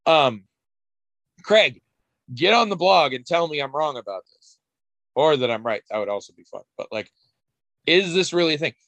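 A man's voice: a little high, animated, normal speed, long pauses, a bit bright, no room sound, highs cut off. A man co-hosting a podcast, chatting casually in a rambling, candid way and swearing now and then. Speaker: podcast co-host. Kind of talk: casual chat.

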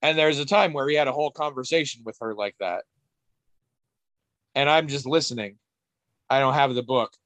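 A man's voice: mid-range voice, moderate pace, pauses often, fairly bright tone, dry recording. Rambling podcast guy, swears a bit, mid voice, dead dry sound. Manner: rambling, candid, swears casually. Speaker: podcast co-host. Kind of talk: casual chat.

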